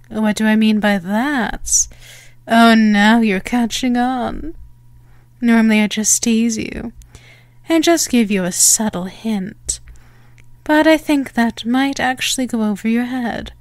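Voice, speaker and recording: A woman's voice: on the high side, no room sound. A woman playing a smug, predatory female vampire in an ASMR roleplay, teasing and condescending as she draws out her words. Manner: mocking, condescending, drawn-out delivery. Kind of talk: slow ASMR roleplay monologue.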